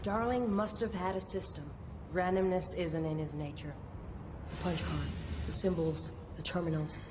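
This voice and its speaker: An actress playing a brisk, commanding woman scientist in a game. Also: lowish voice, steady tone, noisy background, natural voice. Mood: firm. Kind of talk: game voice acting.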